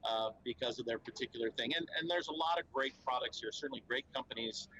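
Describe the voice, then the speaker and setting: A man's voice: mid-range voice, fast, sharp tone, rough recording. A man speaking as a company spokesman at a trade show, explaining in an earnest, fluent way with frequent fillers and restarts. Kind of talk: conversational explainer interview.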